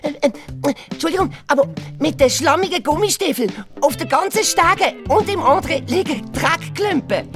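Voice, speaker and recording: Exasperated Swiss schoolboy, animated. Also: female voice, high-pitched, normal speed, hardly any pauses, music in the background. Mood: sceptical, mocking. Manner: grumbling, sarcastic. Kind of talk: children's radio play acting.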